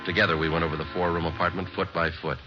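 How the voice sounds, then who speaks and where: A man as a deep, deadpan police sergeant. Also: rapid-fire, music in the background, close to an everyday voice. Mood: narrating. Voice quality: deadpan, clipped. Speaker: detective narrator. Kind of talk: 1950s radio drama. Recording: muffled old radio broadcast.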